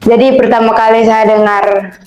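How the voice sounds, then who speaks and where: A woman's voice: a little high, level tone, moderate pace, a little mellow, dull audio. A young woman, a student, explaining plainly in an interview. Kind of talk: casual testimonial. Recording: echoey room with music bed.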